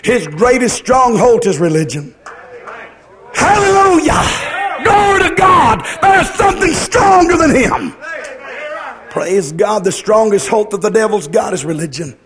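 A man's voice: sits high, sing-song, unhurried, shouting, crowd noise. A man preaching a fervent revivalist sermon in a declamatory, sing-song cadence, repeating phrases and putting rhetorical questions to his listeners.

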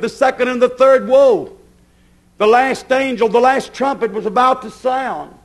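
A man's voice: very high-pitched, fairly bright tone. A man preaching a fervent apocalyptic sermon, emphatic and repetitive, often asking questions and answering them himself. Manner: emphatic, repetitive, incantatory phrasing.

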